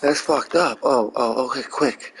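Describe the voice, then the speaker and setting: A man's voice: medium pitch, steady tone, unhurried, gravelly, noisy background. A man chatting casually over a video game, swearing freely and rambling through crude jokes. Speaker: streamer reacting to a video game. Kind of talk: casual profane gameplay chat.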